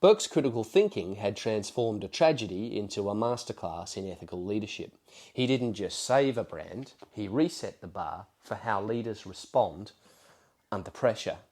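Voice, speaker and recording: Man, Australian accent, lowish voice, slightly croaky with a cold, podcast host, measured emphatic delivery. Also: lively intonation, a little mellow. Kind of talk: solo podcast talk with prepared storytelling.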